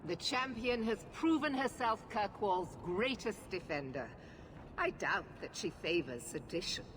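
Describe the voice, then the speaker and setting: An actress playing a stern, unyielding knight commander, with a commanding, severe and formal delivery in a fantasy game. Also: female voice, mid-range voice, animated, unhurried, fairly bright tone, slight background noise. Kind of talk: dramatic fantasy video game acting.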